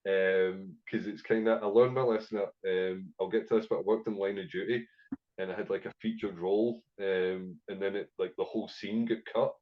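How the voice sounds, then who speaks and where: A man's voice: low-pitched, steady tone, normal speed, muffled recording. Scottish man, sweary rambling storyteller. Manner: swears freely, rambling with many fillers. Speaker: podcast guest, actor. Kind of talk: casual storytelling interview.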